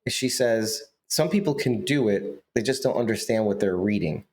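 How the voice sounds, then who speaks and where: A man's voice: lowish voice, lively intonation, moderate pace, darkish tone. A man co-hosting a podcast in casual chat, joking and teasing his co-host, laughing often.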